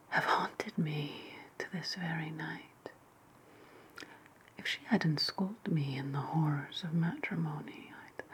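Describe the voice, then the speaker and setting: A woman playing a wry, spiteful ancient Roman witch in a whispered ASMR roleplay, breathy and sweetly sarcastic. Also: fairly low pitch, a little hiss or hum. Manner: slow, deliberate, sweetly sarcastic.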